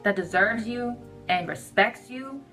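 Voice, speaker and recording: A woman's voice: fairly high pitch, a little slow, fairly bright tone. Assertive woman over guitar music. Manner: assertive, firm, emphatic. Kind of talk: relationship advice to camera.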